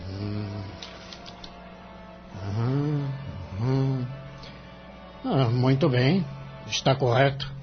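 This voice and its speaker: A Brazilian man playing a mercenary senior lawyer in an audio drama, authoritative, deliberate and admonishing. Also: medium pitch, lightly acted. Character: bossy, mercenary senior law-firm boss. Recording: dull recording with faint music bed.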